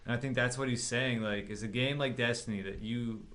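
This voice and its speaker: A man's voice: mid-range voice, steady tone, a little fast, a bit bright. A man co-hosting a gaming podcast, chatting casually with blunt opinions and plenty of swearing. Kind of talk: casual chat about video games.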